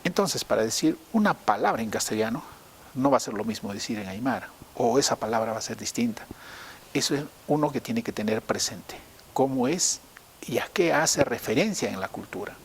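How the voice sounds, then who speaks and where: A man's voice: medium pitch, expressive, fairly quick. Man explaining over audible hiss. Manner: didactic, rephrases points step by step. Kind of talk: explanatory interview. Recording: noticeable hiss behind the voice.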